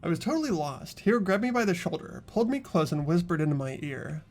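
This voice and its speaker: A woman's voice: lowish voice, big pitch swings, quick pace, a little mellow. A woman narrating a game playthrough in the first person, like a story. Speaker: narrator and player.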